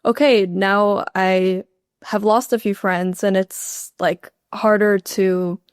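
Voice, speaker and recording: A woman's voice: medium pitch, steady tone, takes it slowly, smooth, dry recording, studio quality. A young woman from Canada, a university student, answering interview questions in a chatty way with lots of "like.". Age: young adult. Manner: chatty, frequent "like" fillers.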